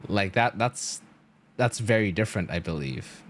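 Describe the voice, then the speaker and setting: A man giving casual live-stream game commentary, thinking aloud in a halting, rambling way full of filler words. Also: fairly low pitch, expressive, slight background noise.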